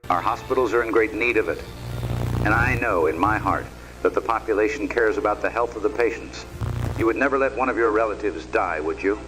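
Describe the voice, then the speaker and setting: A man playing a sinister US president in an analog horror video, giving a measured, earnest, insistent televised address. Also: lowish voice, over music, natural voice, low-quality recording. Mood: solemn, persuasive.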